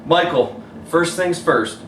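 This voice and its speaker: A wise, kindly storybook mother, voiced by a man, measured and gently firm as she reassures and instructs. The voice is pitched up and echoes in a room, some way from the mic. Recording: roomy echo, distant mic, faint noise.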